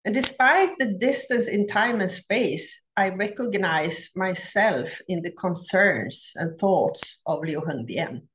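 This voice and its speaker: Swedish lady giving a zoom lecture, super slow and careful, lots of little uh pauses. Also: medium pitch, expressive, fairly bright tone, slight background noise, rough recording. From Sweden. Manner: measured, deliberate, with frequent "uh" pauses. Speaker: academic researcher presenting a paper. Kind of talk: prepared conference talk. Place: home office. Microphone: laptop webcam on a video call.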